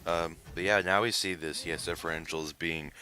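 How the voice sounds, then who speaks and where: A man's voice: low voice, fairly even intonation, fairly quick, bright, forceful tone. A man giving casual color commentary on a live game match, talking in a hesitant, rambling way. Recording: noisy live-stream mic.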